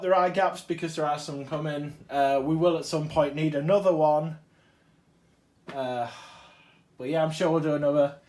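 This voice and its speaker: A man with a cold talks on in a chatty, eager way, often correcting himself as he goes. His voice sits fairly high. He is recorded from a distance in a room that echoes. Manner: chatty, enthusiastic, self-correcting asides. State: has a cold. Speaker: toy collector vlogger. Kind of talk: casual unboxing chat. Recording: roomy, distant mic.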